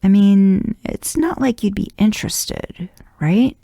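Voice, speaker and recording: An earnest, considerate man in an audio story, read by a woman. He is soft-spoken and gently probing, with a mellow, slightly creaky voice. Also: mid-range voice, slow pace, dry recording, studio quality, pitched above the performer's own voice. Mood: concerned, probing.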